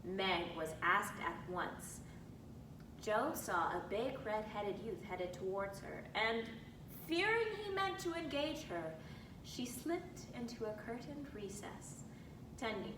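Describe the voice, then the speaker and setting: Unhurried, expressive woman reading a novel. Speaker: reader. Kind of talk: expressive narration of a classic novel. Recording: roomy, distant mic with rumbling noise.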